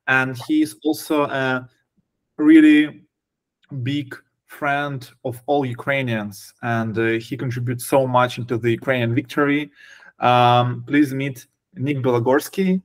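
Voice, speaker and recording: A man's voice: medium pitch, flat delivery, unhurried. A man hosting a podcast, introducing a guest and asking questions in a hesitant way with many fillers. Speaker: podcast host. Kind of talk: casual interview hosting.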